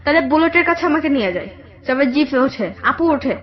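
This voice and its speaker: High-pitched young woman, Bangladeshi accent, halting personal storytelling, dull lo-fi audio. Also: lively intonation. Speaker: guest telling own life story. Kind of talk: personal storytelling in a radio interview. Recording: dull lo-fi radio audio, background music.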